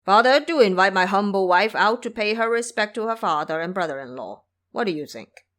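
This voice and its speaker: Woman playing a loud, eager pig monster disciple. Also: mid-range voice, lively intonation, brisk, close to an everyday voice. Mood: wheedling. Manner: loud, pleading, deferential. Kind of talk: audio drama character voice.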